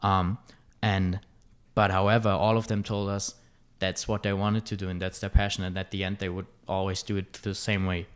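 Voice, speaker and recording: Low flat-voiced young man. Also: normal speed, few pauses, clear, resonant tone. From Germany. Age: young adult. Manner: hesitant, many fillers and restarts. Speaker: student club director, podcast guest. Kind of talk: casual podcast chat.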